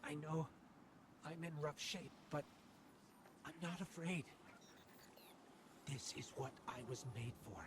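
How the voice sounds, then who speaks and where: A man voicing an earnest, brave little robot companion in a video game, speaking sincerely and a little haltingly. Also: a little high, noisy background. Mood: quiet resolve. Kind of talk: scripted dramatic game dialogue.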